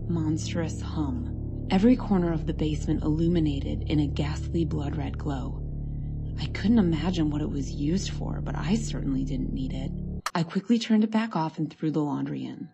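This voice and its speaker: A woman narrates a scary story in the first person with a low, creaky voice. Her telling is measured and conversational, mellow in tone, with faint music underneath.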